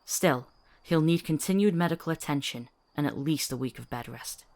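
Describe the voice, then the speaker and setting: A woman's voice: low voice, moderate pace, a little mellow. A woman playing a principled, capable healer in a fantasy drama, articulate, authoritative and dryly witty. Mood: matter-of-fact. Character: firm, principled female healer, capable and wry. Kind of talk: fantasy audio drama acting.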